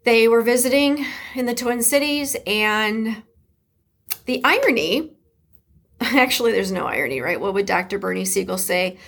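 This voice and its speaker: A woman's voice: a little high, lively intonation, moderate pace, vocal fry. Chatty Detroit-accented woman podcast host. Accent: Detroit Midwest American. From Detroit, USA. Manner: warm, chatty, emphatic, with laughs and shout-outs. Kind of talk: casual solo podcast talk.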